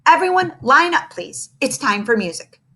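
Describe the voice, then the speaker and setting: A cheerful male schoolteacher, played by a woman reading a storybook aloud, brisk and upbeat. The voice is bright and raised well above her narrating tone. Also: high-pitched, very animated, voice pushed up, heavily acted.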